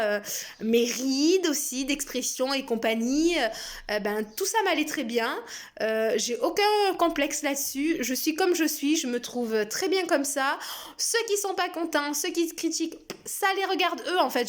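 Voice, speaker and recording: A French woman in her thirties speaking casually and frankly to her viewers, with many hesitations and fillers. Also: high voice. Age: 30s. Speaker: personal development vlogger. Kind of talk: casual spoken monologue.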